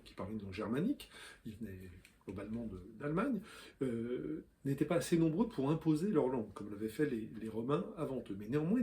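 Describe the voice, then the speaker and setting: A man, a language columnist, explaining spelling to camera in a lively way, with fillers, spelling out letters and example words. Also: medium pitch. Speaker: language columnist and video host.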